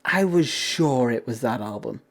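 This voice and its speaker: A young man with a deep voice talks loosely to his viewers on a livestream. He rambles from one thought to the next and breaks into laughter often. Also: lively intonation, a little slow. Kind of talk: casual chat with viewers.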